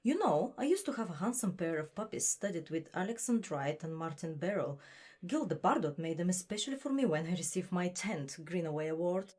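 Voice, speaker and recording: Lady acting grand vain diva in an audio drama, theatrical, low husky voice, very swoopy. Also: expressive, a little fast, a little mellow. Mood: gracious, self-satisfied. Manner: affected, theatrical, haughty.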